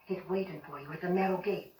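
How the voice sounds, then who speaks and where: A woman's voice: on the low side, fairly even intonation, fast talker, mellow, natural voice. A woman voicing a wise, stern voodoo priestess with a Jamaican accent, speaking in solemn warnings. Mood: firm. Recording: echoey, distant game audio.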